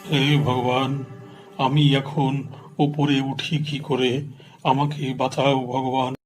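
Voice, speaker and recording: A man's voice: medium pitch, level tone, slow pace, soft background music, natural voice. Man voicing pleading cursed king in lizard form. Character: cursed king turned chameleon, pleading, humble, devout. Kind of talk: cartoon voice acting.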